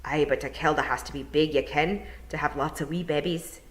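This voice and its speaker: A woman's voice: low voice, fairly even intonation, a little fast, lightly acted. A woman voicing gossiping, rowdy little male pixies with Scottish accents in a storybook reading. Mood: gossipy, teasing. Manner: blunt, dialect-heavy.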